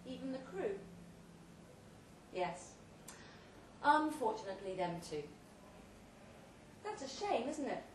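A woman giving a theatrical, deliberate live reading of a comic short story, with dramatic pauses. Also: fairly high pitch, very animated. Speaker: story reader at a live literary event. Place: pub event room, before an audience. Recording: roomy, distant live recording, faint noise.

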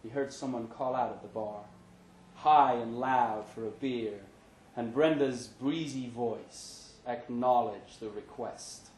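A man's voice: mid-range voice, takes it slowly, a little mellow, a little hiss or hum. Man reading fiction aloud, echoey room. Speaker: reader of short fiction. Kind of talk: scripted narration, live story reading. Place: pub event, indoors.

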